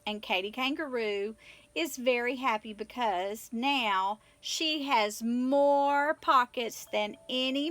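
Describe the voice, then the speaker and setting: Female storybook reader, expressive delivery, high pitch, bright tone. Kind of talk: expressive scripted children's story narration.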